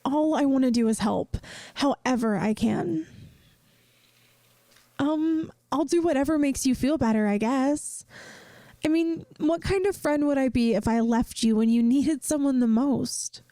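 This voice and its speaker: A woman playing a caring young best friend in a soft-spoken ASMR roleplay, gentle and earnest, hesitant at times, offering reassurance. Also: high-pitched, unhurried, no echo. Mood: hesitant, helpful.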